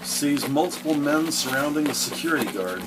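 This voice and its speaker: Guy narrating a crime audio drama, slightly high voice, dramatic storytelling, music behind. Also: expressive, moderate pace, low-quality recording.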